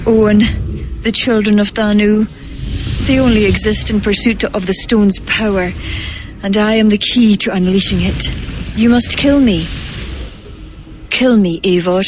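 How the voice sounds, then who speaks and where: An actress playing a grieving, proud druid woman in a video game, speaking gravely and ready to sacrifice herself. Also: medium pitch, fairly even intonation, unhurried, pauses often, close to an everyday voice. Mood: pleading, resolute. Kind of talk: cinematic video game acting. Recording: game music behind the voice.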